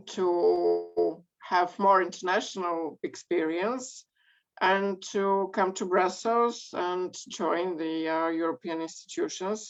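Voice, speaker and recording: A woman from Bulgaria, telling her career story as a guest in an online interview, measured with many pauses. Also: fairly low pitch, creaky. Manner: measured, frequent ums and pauses. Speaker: guest speaker. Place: home or office in Belgium. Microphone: video call. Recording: dull lo-fi call audio.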